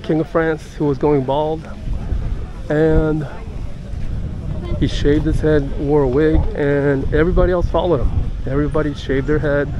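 An older man giving casual walking-tour narration as a travel vlogger, halting and pausing mid-sentence. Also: a little high, flat delivery, dull audio. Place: outdoors in busy city streets and squares. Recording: outdoor city street noise.

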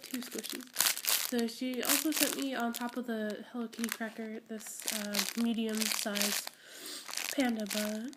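A young woman with a congested, cold-stricken voice sitting a little high in pitch. She rambles and gushes happily over little toys. The phone recording is full of crinkling plastic and handling noise. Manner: rambling, giggly, gushing over small items. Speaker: squishy collector vlogger. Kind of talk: casual unboxing chat. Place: indoors at home, camera pointed at a table.

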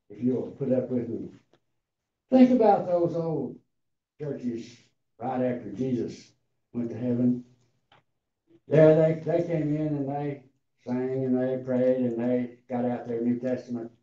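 A man in his eighties with a raspy, tender voice, preaching and slowly reading scripture to a congregation. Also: mid-range voice, warm tone. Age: 80s. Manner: slow, deliberate, halting reading. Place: large church hall before a seated congregation. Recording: roomy, distant, dull hall sound.

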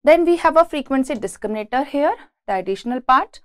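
A woman with an Indian accent teaches in a lecture style. Her voice sits on the high side. She explains haltingly, repeating words as she builds each point, and the sound is close and dry with little room echo. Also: expressive. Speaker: engineering lecturer. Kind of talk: classroom lecture explaining slides. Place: indoors, beside a presentation screen.

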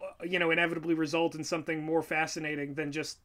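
Co-host for casual opinion chat: bright, forceful mid-pitched voice, emphatic delivery. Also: flat delivery, fast talker. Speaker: writer and YouTuber reacting as co-host.

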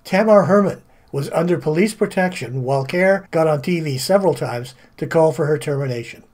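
A man's voice: fairly high pitch, expressive, a little fast, darkish tone, slight background noise. A man reading scripted, polemical voice-over narration.

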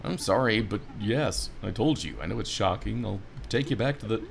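A man's voice: lowish voice, moderate pace, gravelly, a little hiss or hum, voice pushed down. A man doing an earnest, gloomy town guard. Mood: apologetic. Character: earnest, gloomy, polite young male town guard private.

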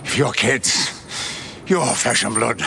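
An actor in his sixties with a Dublin accent playing a grieving, bitter older father, intense, halting and accusing, in a television drama. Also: mid-range voice, creaky, background noise. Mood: angry, confronting. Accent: Dublin Irish.

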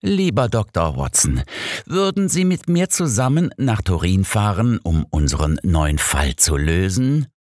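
A man's voice: low-pitched, sing-song, measured pace, darkish tone, dry recording, clean studio sound, pitched above the performer's own voice. A man voicing an irritable, sarcastic old detective in an audiobook, curt and condescending. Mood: needling, annoyed. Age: older. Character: irritable, sarcastic old male detective, imperious know-it-all. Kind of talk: audiobook character dialogue.

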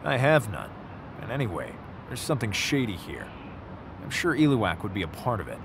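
A man's voice: fairly low pitch, wildly swooping pitch, normal speed, creaky, background noise, full character voice. A man playing a brooding, world-weary mercenary loner in a video game, terse and gloomy. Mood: gloomy, suspicious. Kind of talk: video game cutscene voice acting.

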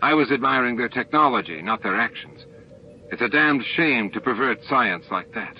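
A man's voice: medium pitch, lively intonation, normal speed, natural voice. Guy voicing keen space engineer explaining tech, music behind, dull radio-ish audio. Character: male starship engineer, eager, technically minded explainer.

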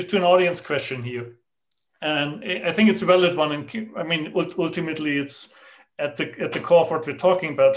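Halting man moderating a video panel. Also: a little high. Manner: halting, many restarts and repeated words. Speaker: meetup moderator. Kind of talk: panel discussion over video call. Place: plain room indoors. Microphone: webcam or laptop mic on video call.

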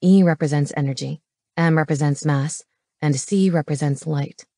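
A woman acting out a gruff, intelligent man from an audiobook, pitched low. He is terse and intense, laying out facts plainly. The sound is close and dry. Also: moderate pace, mellow, no echo. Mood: explanatory. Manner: terse, matter-of-fact. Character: gruff, brainy comic-book-fan man, terse and intense. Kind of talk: audiobook character dialogue.

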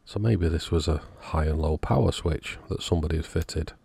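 A man's voice: very deep voice, moderate pace, vocal fry, warm tone, breathy edge, dry recording. A man giving an informal, matter-of-fact narration of a hands-on repair, thinking aloud as he works. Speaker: electronics repair YouTuber.

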